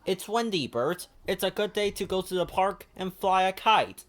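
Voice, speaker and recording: An excitable, impulsive young fellow from a children's storybook, acted out by a male reader. His delivery is eager and exclamatory, jumping higher and louder than plain narration. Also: normal speed. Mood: eager, cheerful. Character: excitable, impulsive young male friend, cheerful then cross.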